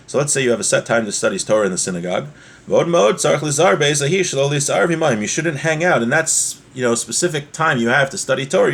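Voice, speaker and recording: A man teaching an informal religious lesson, reading from a text and explaining it with frequent check-in questions. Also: mid-range voice.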